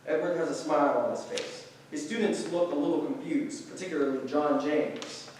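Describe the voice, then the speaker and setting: A man's voice: fairly high pitch, normal speed, a little mellow. A man reading scripted stage directions as narrator at a staged play reading. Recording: very echoey room, distant, faint noise.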